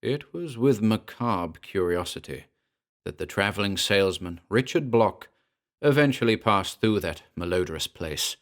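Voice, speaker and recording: A man with a fairly low voice presents and narrates classic horror fiction. His delivery is scripted, measured and formal, with deliberate phrasing suited to an old literary tale. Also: animated.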